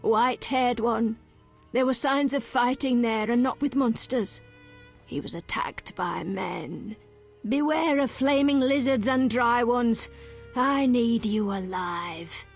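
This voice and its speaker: An actress playing a solemn, cryptic female village elder in a video game, speaking in measured, archaic phrases. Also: fairly high pitch, creaky, soft background music, exaggerated voice. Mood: solemn, wary.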